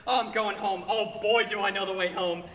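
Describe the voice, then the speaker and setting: A man playing an excitable, stammering small-town family man in a stage radio play. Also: high-pitched, a little fast. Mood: overjoyed. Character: desperate, then overjoyed small-town family man George. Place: stage in a hall. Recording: echoey hall, faint noise.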